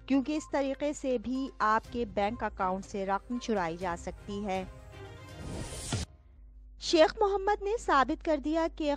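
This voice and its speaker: A woman presenting a scripted news bulletin in a formal, declarative newsreading manner. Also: fairly high pitch. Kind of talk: scripted news bulletin narration in Urdu register.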